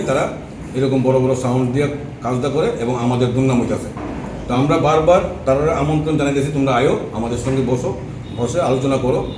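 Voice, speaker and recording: A man with a Tripura Bengali accent gives a press briefing as an association's spokesman, pausing and hesitating as he goes. He is picked up from a distance in an echoey, noisy room. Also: mid-range voice. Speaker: trade association secretary, spokesman.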